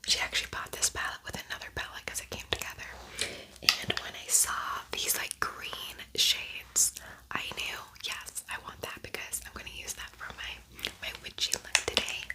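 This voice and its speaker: A woman speaking in a breathy whisper, chatting informally and softly as she hosts an ASMR makeup tutorial. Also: normal speed, low-quality recording. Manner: soft-spoken, informal, chatty. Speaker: beauty ASMR video creator.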